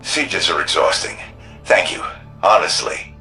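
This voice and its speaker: An actor playing a weary, grateful city defender in a video game, speaking in a tired, plainspoken way. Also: male voice, low voice, animated, measured pace, pushed and bright, faint music behind, full character voice, poor audio. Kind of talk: scripted game dialogue.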